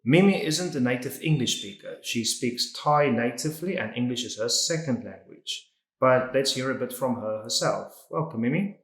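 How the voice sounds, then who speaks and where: A man's voice: mid-range voice, animated, moderate pace, a bit bright, reverberant. A man hosting an educational interview, chatty and opinionated, often opening his questions with "so". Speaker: interview host, English teacher.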